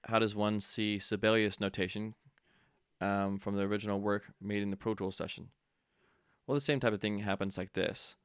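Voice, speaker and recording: A man with a low voice and plenty of fry, talking through a software walkthrough like an instructor. He is informal and offhand, thinking aloud as he goes. The sound is close and dry. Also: level tone, normal speed, dry recording. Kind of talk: casual software tutorial walkthrough.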